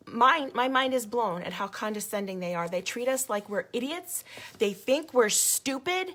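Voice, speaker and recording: A woman hosting a casual livestream with a hoarse, failing voice, talking indignantly to her viewers. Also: medium pitch. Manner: indignant, gets louder when riled up. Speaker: activist livestream host.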